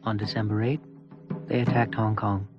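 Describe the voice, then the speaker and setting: A man narrating a historical documentary from a script in a formal, measured way. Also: on the low side, flat delivery, muffled recording, over loud music.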